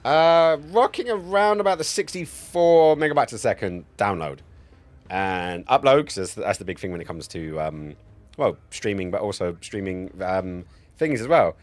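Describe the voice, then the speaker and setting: A man's voice: on the low side, animated, moderate pace. A man in his forties chatting casually and jokily, with plenty of swearing, as he plays a game on a live stream at a close mic. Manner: sweary, jokey, rambling. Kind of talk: casual live-stream gameplay commentary and chat. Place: indoor home streaming setup. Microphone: close streaming mic on a boom arm.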